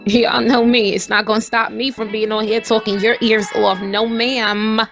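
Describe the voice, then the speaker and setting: A young woman with a raspy voice, chatting casually and slangily to her viewers as she walks them through a hair tutorial. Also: a little high, flat delivery, normal speed, soft background music.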